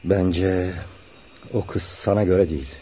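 An actor playing a frank, serious young friend who gives admonishing advice in a radio drama. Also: male voice, low voice, steady tone, takes it slowly, dark tone, slightly rough, heavily acted. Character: frank, sober young male childhood friend giving advice. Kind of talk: Turkish radio drama acting.

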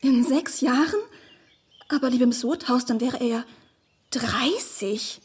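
A woman's voice: on the high side, unhurried, husky. An actress playing a naive, eager and deferential young woman in a radio play, artless and hesitant in her speech. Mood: timid, dismayed.

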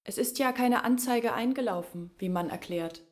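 A woman's voice: fairly high pitch, normal speed, lightly acted. An actress as earnest young village woman. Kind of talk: radio drama acting.

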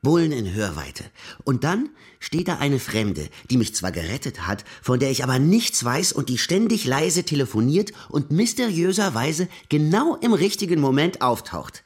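Irritable fugitive, radio crime drama, very rough husky voice, lively pitch swings, slangy, music behind. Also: male voice, moderate pace. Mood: wry, recounting. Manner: excitable, slangy, exasperated. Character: agitated young man on the run, irritable narrator.

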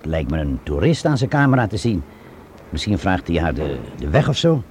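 Male junior policeman, lowish pitch, animated intonation, talkative, colloquial, deferential, radio drama. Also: moderate pace. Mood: curious. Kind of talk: radio drama acting in Dutch. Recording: radio play, faint outdoor street noise.

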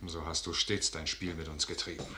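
An actor playing an impatient, reproachful officer and confidant in a classical German radio drama, speaking in a pressing, pointed way. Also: male voice, a bass voice, little pitch movement, fairly quick, sharp tone, heavily acted. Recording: echoey, roomy radio play recording.

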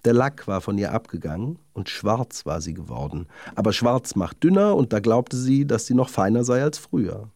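A man's voice: fairly low pitch, animated, normal speed, creaky, hardly any pauses, warm tone, dry recording, clean studio sound. A man narrating a children's fairy tale from a script, as a storyteller.

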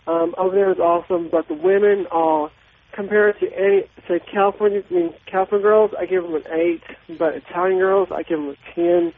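A man calling in to a radio show, talking in a rambling, halting way. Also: sits high, fairly even intonation, slight background noise. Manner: rambling, hesitant. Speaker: caller. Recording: tinny phone line.

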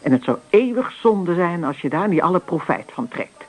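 An actress playing a middle-aged farm mother in a Dutch radio play, anxious, hesitant and gently admonishing. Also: fairly low pitch, big pitch swings, normal speed. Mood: anxious, reproachful.

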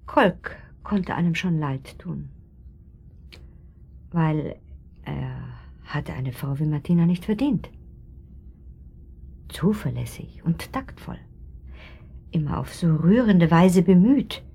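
A catty, well-to-do wife in a radio play. Her husky, lowish voice swings freely in pitch, full of snide insinuation and pointed asides. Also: expressive, slow pace, long pauses, mellow, full character voice. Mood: catty, confiding. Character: gossipy, snide upper-class pharmacist's wife, malicious amusement. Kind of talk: German radio drama acting.

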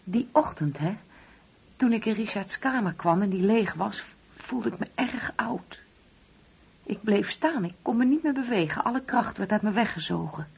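Older mum in a radio play, really husky n breathy, wistful, uneasy, kinda hushed. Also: female voice, medium pitch, animated, moderate pace, exaggerated voice. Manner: hesitant, brooding, hushed at times. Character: wistful, uneasy ageing mother grieving an absent son. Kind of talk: Dutch radio play acting.

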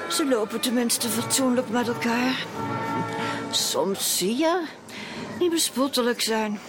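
A woman's voice: fairly high pitch, slow pace, over music. A woman playing a genteel, self-important society lady in a period radio drama, with a refined, confiding manner. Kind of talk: Dutch radio drama acting.

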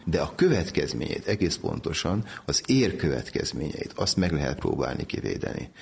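A man's voice: rumbling low, big pitch swings, gravelly, darkish tone, a little hiss or hum. A man explaining medical research methodically as an interview guest.

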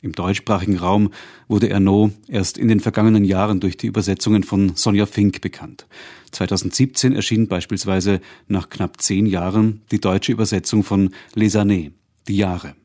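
A man giving a measured, factual scripted introduction to a radio play as presenter. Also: on the low side, steady tone, mellow.

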